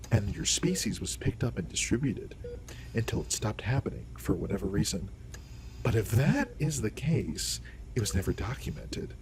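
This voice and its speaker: A man acting as an authoritative alien doctor in a sci-fi audio drama. His voice is on the low side, and he explains things formally and courteously. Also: darkish tone, rough recording. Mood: intrigued.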